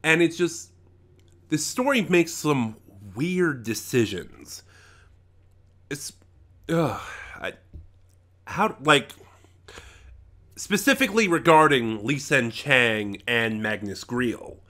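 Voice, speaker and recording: A man with a bright, forceful voice at a medium pitch talks through an off-the-cuff review. He speaks casually and with emphasis, often breaking off mid-thought and starting the point again. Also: very animated. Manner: halting, trails off and regroups mid-thought. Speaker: online reviewer. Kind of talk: casual unscripted audio drama review.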